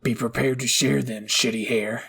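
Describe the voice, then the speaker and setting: A man acts out a young anime fantasy fighter with a short temper and a smirk. He speaks bluntly and dismissively in a gruff, rasping voice full of creak. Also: medium pitch, fairly even intonation, moderate pace, a bit bright. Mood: gruff, teasing. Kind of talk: anime fan roleplay acting.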